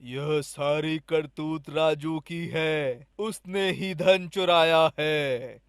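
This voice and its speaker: Woman as a very slow, solemn tree spirit. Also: low voice, fairly even intonation, clear, resonant tone, no echo, studio quality, pitched below the performer's own voice. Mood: solemn, accusing. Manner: drawn-out, solemn. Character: solemn tree spirit, slowly pronouncing judgment.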